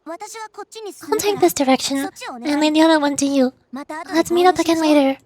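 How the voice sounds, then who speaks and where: Fantasy game tracker, voiced by a woman: high female voice, proud, serious, prone to grumbling. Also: steady tone, normal speed, darkish tone, natural voice.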